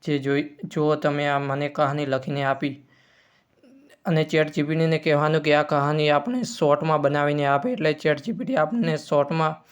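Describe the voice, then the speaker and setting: A man's voice: a little high, fairly even intonation, moderate pace, clean tone. A man hosting an informal step-by-step tutorial, using repetitive instructional phrasing. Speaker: tutorial host.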